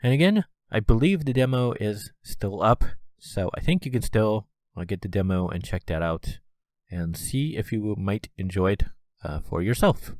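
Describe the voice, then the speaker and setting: A man's voice: on the low side, animated, moderate pace, darkish tone, no echo. A man with a congested, stuffy nose hosting a casual solo podcast, rambling with plenty of "uh" and "you know". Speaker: podcast host.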